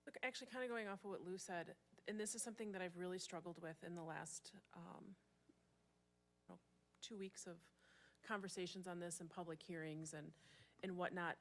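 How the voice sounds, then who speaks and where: Lower-voiced American woman, reflective. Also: brisk. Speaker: city council member. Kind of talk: informal meeting remarks.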